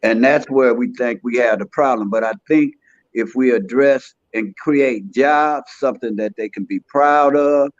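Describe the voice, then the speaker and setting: A man in his sixties talks plainly and earnestly, with streetwise turns of phrase. His voice sits at a middle pitch. The sound is dry and a little dull, like a lo-fi recording. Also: measured pace, no room sound. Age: 60s. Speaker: podcast guest. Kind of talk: casual conversation.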